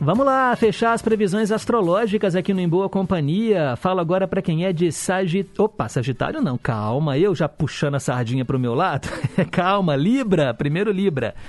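A man with a Brazilian Portuguese accent, hosting a radio show, chatting quickly and reading horoscopes with a joke at his own expense. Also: high-pitched, lively intonation. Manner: brisk, chatty, jokes at himself. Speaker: radio host.

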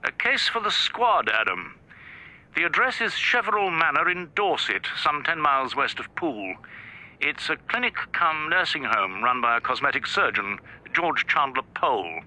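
Sharp, telephone-filtered police chief. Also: male voice, on the high side, sing-song, full character voice, low-quality recording. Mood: brisk, official. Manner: brisk, official. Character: brisk, authoritative senior police chief. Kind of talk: radio drama phone dialogue.

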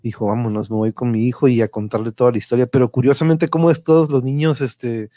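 A Mexican man hosts a relaxed conversation in a mid-range voice. He rambles informally and keeps looking for agreement. The sound is dry, with little room echo. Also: medium pitch, fast talker. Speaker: host. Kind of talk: casual chat.

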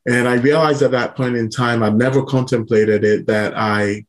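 A man from Ghana, a startup founder, talking about his career as a podcast guest in a relaxed way, with small laughs and many ums. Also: medium pitch, normal speed. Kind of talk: casual interview.

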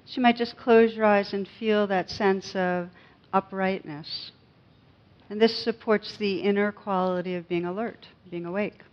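Woman meditation teacher, gentle n unhurried, medium pitch, bit of a dull recording. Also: fairly even intonation. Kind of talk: guided meditation.

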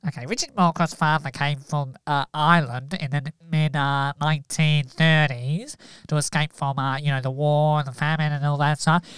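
A man improvising a nerdy ancestry researcher who hesitates and apologises a lot. Smooth clear sound. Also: fairly high pitch, steady tone, moderate pace, fairly bright tone, no echo, close to an everyday voice. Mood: fumbling. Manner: hesitant, full of ums and apologies.

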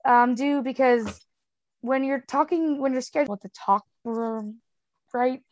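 A woman's voice: sits high, unhurried, a little mellow, lo-fi sound. A young woman talking casually as a podcast guest, with a marked stutter of repeated sounds and blocks and a frank, chatty manner full of fillers. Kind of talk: casual conversational interview.

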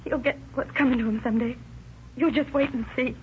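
A woman's voice: high voice, fairly even intonation, brisk, lightly acted. An actress playing an anxious young wife who stands up to her husband in a 1930s radio drama. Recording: dull lo-fi old radio recording with faint hiss.

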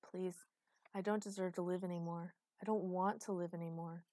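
A woman's voice: fairly low pitch, fairly even intonation, moderate pace, mellow, no echo, clean studio sound, close to an everyday voice. A woman voicing a despairing, self-loathing male lover in a fantasy audiobook, apologetic and pleading. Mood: remorseful pleading. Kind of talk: audiobook character acting.

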